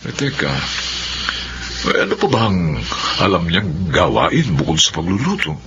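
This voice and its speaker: A man playing a chatty, excitable older brother figure giving advice in a radio-style drama. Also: low voice, unhurried, highs cut off, background noise. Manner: animated, excitable.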